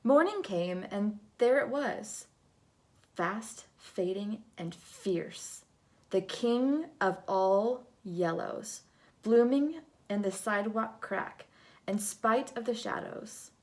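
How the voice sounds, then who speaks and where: A woman reading a picture book aloud to children in an expressive, dramatic way, moving between hush and big swells. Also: mid-range voice, slow. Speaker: storybook reader.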